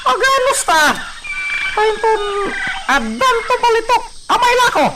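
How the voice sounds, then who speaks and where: A man voicing a servile, scheming farm henchman in an exaggerated, squeaky radio drama voice. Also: very animated, measured pace, very noisy, heavily acted. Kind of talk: Philippine radio drama acting.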